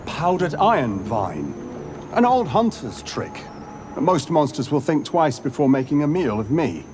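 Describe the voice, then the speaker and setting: A man's voice: on the high side, sing-song, unhurried. A man playing a courteous, sardonic wandering monster hunter in a video game, speaking formally. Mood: wry. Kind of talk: video game character acting. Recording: clear background noise.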